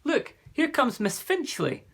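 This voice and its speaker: A man's voice: extremely high pitch, slow pace, creaky, breathy edge, voice pushed up, exaggerated voice. A man reading aloud, voicing a cheerful, polite young schoolboy. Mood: friendly. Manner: lively and friendly.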